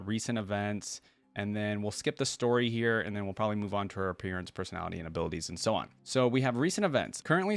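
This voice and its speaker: A man's voice: lowish voice, smooth, no room sound. A man hosting a reaction video, giving casual, chatty commentary and thinking aloud in an informal way.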